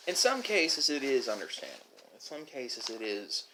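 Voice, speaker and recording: A man with a mid-pitched voice delivers a reflective monologue in the style of a video host. He speaks haltingly, leaving drawn-out pauses between phrases, with faint noise and clicks behind him. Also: very animated. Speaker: host of an ASMR mukbang channel. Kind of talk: musing philosophical monologue.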